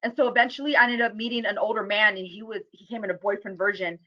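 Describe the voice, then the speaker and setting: A woman's voice: mid-range voice, fairly even intonation, fast talker. An American woman telling her own story candidly and earnestly as an interview guest on a video call. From United States. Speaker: guest speaker. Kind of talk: personal testimony in interview. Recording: dull lo-fi video call audio.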